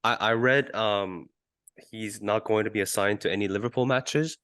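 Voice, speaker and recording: A man co-hosting a sports podcast in casual discussion, arguing his points haltingly and often repeating words. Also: fairly low pitch, a bit bright, dry recording, studio quality. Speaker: podcast co-host.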